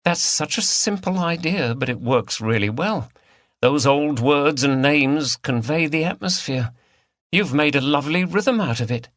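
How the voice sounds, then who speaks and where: Man voicing a feisty, high-pitched woman activist. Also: expressive, unhurried, a bit bright, no echo, clean studio sound. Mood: frank. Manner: frank, earnest. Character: earnest, feisty, outspoken female countryside activist. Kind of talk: audiobook character dialogue.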